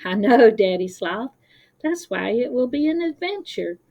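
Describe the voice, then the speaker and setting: A woman's voice: a little high, sing-song, unhurried, mellow, exaggerated voice. A woman voicing an eager, kind young sloth child in an earnest storybook manner. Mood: eager, reassuring.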